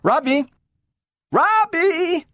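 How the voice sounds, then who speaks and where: A man reading aloud and voicing an eager little girl who turns tearful, in a shrill pleading voice. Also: very animated, measured pace, fairly bright tone, no echo, full character voice.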